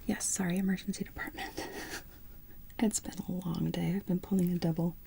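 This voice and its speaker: Whispering woman, very mellow tone. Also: lowish voice, level tone, normal speed, creaky. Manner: soft, mostly unvoiced whisper; polite phone-desk manner. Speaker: ASMR roleplay host as hospital receptionist. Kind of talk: ASMR roleplay.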